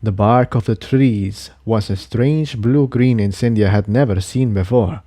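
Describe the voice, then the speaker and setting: Guy reading a fantasy story, slow n measured, soft mellow tone, really dry sound. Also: on the low side, no room sound. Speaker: audiobook reader of fan fiction. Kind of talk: scripted story narration.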